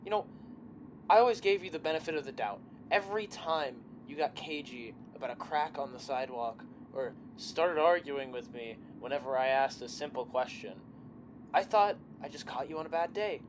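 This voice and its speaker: Audio drama role, played by a woman: wounded young friend, confrontational, bitterly accusing, halting, lively pitch movement. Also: noisy background. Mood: bitter, furious.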